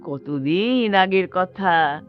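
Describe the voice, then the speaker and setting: A frail, forgetful old mother in a radio play. Her speech is slow and halting but very animated in pitch, repeating words with stubborn feeling. Soft music sits faintly behind her. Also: phone-quality sound. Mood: nostalgic, coaxing. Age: older. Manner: halting, emotional, insistent, repeats phrases. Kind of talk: Bengali radio drama acting.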